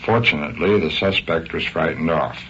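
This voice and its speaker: A man's voice: rumbling low, moderate pace, pushed and bright, slight background noise. An American man, a police chief, reading a formal scripted statement in stiff official wording on a muffled 1950s radio broadcast. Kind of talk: formal scripted address, read aloud.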